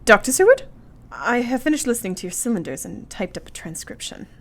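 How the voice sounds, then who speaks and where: An actress playing an earnest, tender young Victorian wife in a radio play, with formal period diction. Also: female voice, fairly high pitch, very animated, brisk, noisy background. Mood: fervent.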